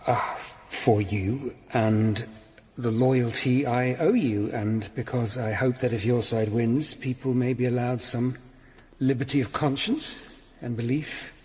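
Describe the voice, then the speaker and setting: An actor playing a grave, dutiful Tudor lawyer-detective in a radio drama, speaking in a measured, formal and earnest way. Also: male voice, medium pitch, fairly even intonation, darkish tone, husky. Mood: earnest, deferential. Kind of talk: radio drama acting and first-person narration.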